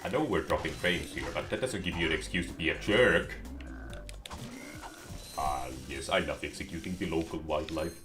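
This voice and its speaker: A man with a deep, low voice giving sarcastic, joking commentary while playing a game. Faint game music plays softly behind him. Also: normal speed. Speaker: streamer. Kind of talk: gameplay commentary.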